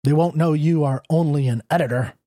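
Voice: mid-range voice, a little fast, dark tone, no echo, studio quality, natural voice. A reader voicing a proud, down-and-out male writer who talks bluntly and sardonically. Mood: sardonic. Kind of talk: audiobook character reading.

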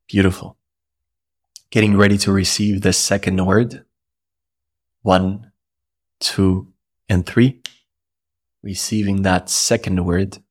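A man leading a guided meditation, giving slow, soothing, repetitive instructions with long pauses. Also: low voice.

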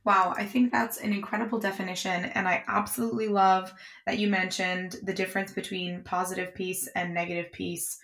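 A woman interviews a guest as podcast host, her voice sitting at an average female pitch. She speaks effusively and encouragingly, framing her questions in full, welcoming phrases. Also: flat delivery, fairly quick, vocal fry, fairly bright tone.

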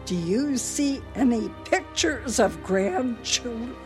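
An actress playing a fretful, grieving older wife in a radio play, plaintive and emotional. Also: female voice, on the high side, expressive, slow pace, soft background music. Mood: bitter, insistent. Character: fretful, grieving elderly wife, clinging to memories.

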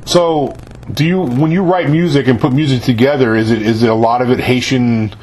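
Husky guy hosting a radio chat, easygoing and agreeable, mid-pitch voice. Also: brisk, low-quality recording. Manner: relaxed, agreeable, chatty. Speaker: host. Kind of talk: casual interview chat.

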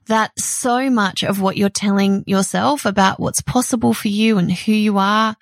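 A middle-aged woman hosting a solo podcast, talking in an animated, chatty way with a loud voice and frequent laughs. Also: medium pitch, creaky, no echo. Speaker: podcast host.

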